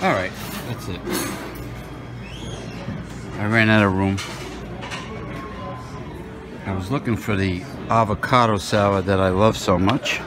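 Slow-talking man at a buffet. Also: fairly low pitch, steady tone, music in the background. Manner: rambling, musing aloud with many fillers. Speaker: food vlogger. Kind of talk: casual narration while filming. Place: indoor buffet restaurant. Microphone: phone camera held while walking.

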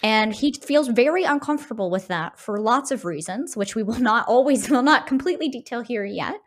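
A woman's voice: on the high side, animated, brisk. Woman podcaster who keeps checking "right?". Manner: often tags phrases with a questioning "right". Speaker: podcast co-host. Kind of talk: casual discussion of a video game.

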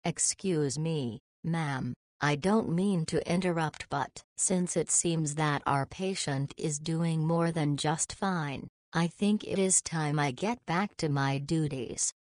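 Woman playing slow, demure young doctor. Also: deep voice, no echo, clean studio sound. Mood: polite, reserved. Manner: formal, polite, soft-spoken. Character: demure, soft-spoken young female doctor, 27, formal. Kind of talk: visual novel voice acting.

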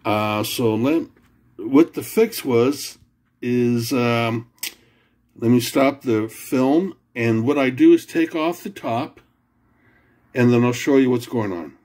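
A man talks through a home repair in a casual, halting way, pausing on fillers and repeating himself. His delivery is slow and unhurried at a mid pitch, picked up by a camera mic at his workbench. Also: a little mellow. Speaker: home repair video maker. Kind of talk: casual how-to explanation.

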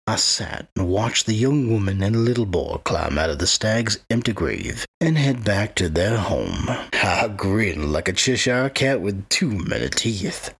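Gravelly undead killer, coldly gloating. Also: male voice, lowish voice, a bit bright, full character voice. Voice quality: gravelly, husky. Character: undead wight narrator, patient, sinister, gloating killer. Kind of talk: first-person dark fantasy audio drama narration.

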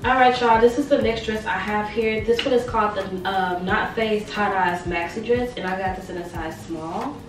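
A young woman in her twenties chatting casually through a clothing try-on, her voice a little raspy from a sore throat, repeating words for emphasis. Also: medium pitch, moderate pace, few pauses, over music. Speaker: fashion vlogger. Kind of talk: casual try-on haul chat. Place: small bright bedroom. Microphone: camera mic a few steps away. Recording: roomy sound from a distant camera.

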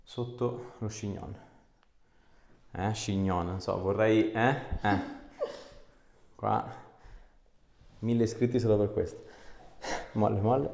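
A man's voice: fairly low pitch, warm tone. A man, a chiropractor coaxing a patient with short, encouraging instructions. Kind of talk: hands-on instructions and casual explanation to a patient. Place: small clinic treatment room. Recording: echoey room, clear background noise.

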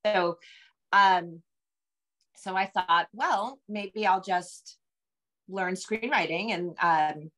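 A woman's voice: on the low side, very slow, pauses often, fairly bright tone, low-quality recording. A middle-aged woman with an American accent chatting casually as an interview guest on a video call, rambling and self-deprecating. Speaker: interview guest, novelist and screenwriter. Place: home room with bookshelves.

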